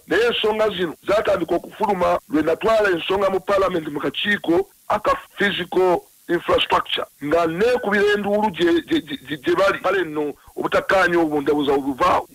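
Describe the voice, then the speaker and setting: A man's voice: fairly high pitch, fairly bright tone, dry recording, low-quality recording. A man speaking as a member of parliament in an interview clip, emphatic and stumbling over words. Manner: stammering, emphatic. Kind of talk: interview soundbite.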